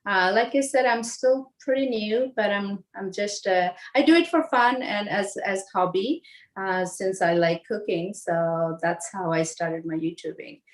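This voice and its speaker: A woman in her forties from Bangladesh, explaining home recipes in a casual, halting way with lots of fillers and restarts. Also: mid-range voice. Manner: hesitant, many fillers and restarts. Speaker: home cook guest. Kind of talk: casual cooking demonstration.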